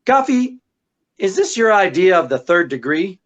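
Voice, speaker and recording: A woman's voice: on the low side, sing-song, normal speed. A woman acting a wisecracking, devoted husband and sleuth in 1940s radio style, with flustered banter. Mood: defensive.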